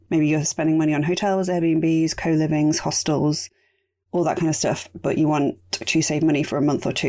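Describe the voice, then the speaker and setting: A woman hosting a casual solo podcast, chatty and rambling, her voice going raspy. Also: sits low, a little mellow. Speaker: podcast host.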